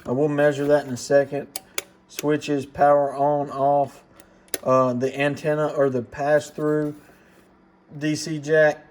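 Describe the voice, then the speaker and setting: A man with a slightly high voice presents gear in a casual, understated manner. He talks plainly, like someone showing a new gadget at his bench. There is some faint noise behind him. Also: level tone, takes it slowly, a little mellow. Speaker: host of a radio gear review channel. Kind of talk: casual unboxing and product demonstration. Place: indoor workbench in a radio shop. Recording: clearly noisy room recording.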